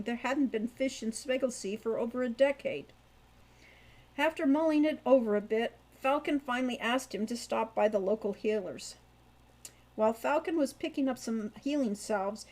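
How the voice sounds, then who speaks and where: A woman's voice: on the high side, darkish tone, poor audio. A woman reading scripted fiction aloud as an audiobook narrator.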